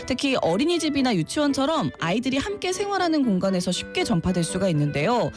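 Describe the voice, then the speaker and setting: A woman reporter reading short news items on a radio show, clear and lively, with chatty asides. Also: on the high side, fast talker, music in the background.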